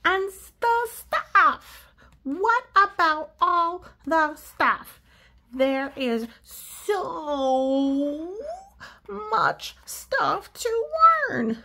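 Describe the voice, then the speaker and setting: A woman's voice: sits high, animated, extremely slow, creaky, bright, forceful tone, pitched above the performer's own voice, heavily acted. A woman voicing an anxious, whiny young cartoon pigeon from a storybook, stretching words out for emphasis. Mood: panicked. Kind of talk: storybook read-aloud character voice.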